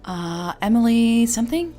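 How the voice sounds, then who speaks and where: A woman's voice: fairly high pitch, expressive, normal speed, dark tone, soft background music, voice pushed up, lightly acted. A woman voicing a curious, skeptical female tech blogger in an audio story, questioning and a little hesitant.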